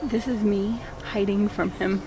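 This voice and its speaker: A young woman vlogging casually at home in a playful, excitable way, speaking into a handheld camera. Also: medium pitch, a little slow. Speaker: vlogger filming. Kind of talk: casual home vlog chat. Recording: noisy handheld camera audio.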